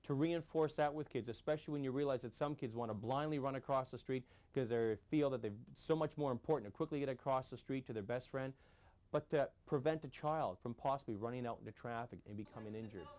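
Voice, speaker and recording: A man, a police constable, speaking in an interview in a loud, projecting and enthusiastic way. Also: mid-range voice, fast.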